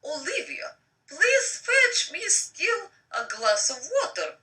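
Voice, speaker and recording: A woman's voice: very high voice, very animated, slow pace, pushed and bright, pitched above the performer's own voice, exaggerated voice. A woman voicing an elegant young female office assistant, stern and formally polite, in a story reading. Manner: polite, crisp, formal receptionist talk. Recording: distant microphone on live stream.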